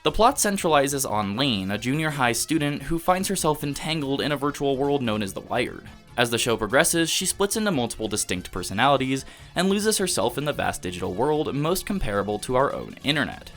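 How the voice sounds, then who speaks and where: Man, mid-pitched, scripted essay narration, varied intonation, measured rhetorical delivery. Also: very animated, fairly bright tone. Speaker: video essayist. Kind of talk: scripted analytical narration about anime. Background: background music.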